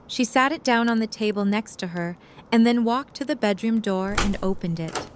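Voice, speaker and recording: A woman's voice: a little high, moderate pace, noisy background. A woman narrating a scripted story in an audio drama.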